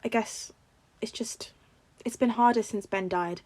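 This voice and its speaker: A woman's voice: fairly high pitch, fairly even intonation, measured pace, a little mellow. A woman voicing a shy, self-effacing teenage boy with a hesitant, defensive manner in a story reading. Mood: subdued.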